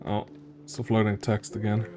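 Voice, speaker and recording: A man's voice: lowish voice, monotone, normal speed, music in the background. A man giving casual commentary while streaming a game, musing and thinking aloud.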